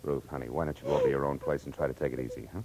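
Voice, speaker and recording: A man's voice: very deep voice, steady tone, racing, background noise. An American actor playing a wry, flirtatious, tough-talking male private detective in a 1940s radio drama. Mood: calm, guarded. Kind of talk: 1940s radio acting with first-person narration. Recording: old radio recording with music.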